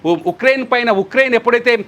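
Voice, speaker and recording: A man's voice: very high voice, fast, fairly bright tone. A man giving news analysis as a commentator, explaining and repeating points for emphasis.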